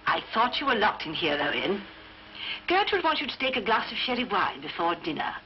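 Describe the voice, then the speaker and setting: An actress playing a prying, insistent sister in a 1940s British film melodrama. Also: female voice, mid-range voice, very animated, fairly quick, slight background noise, lightly acted. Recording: muffled 1940s film soundtrack with music.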